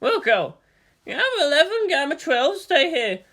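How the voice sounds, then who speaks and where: A woman's voice: high-pitched, very animated, a little slow, fairly bright tone, voice pushed up, exaggerated voice. A woman voicing a nervy, irreverent soldier leading a mech squad, mixing clipped military radio talk with casual swearing. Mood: uneasy.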